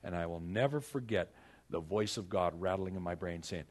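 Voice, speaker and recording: A man preaching as a pastor, earnest and exhorting, with dramatic pauses, repeated phrases and a husky voice. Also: low-pitched. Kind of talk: sermon with personal stories and prayer.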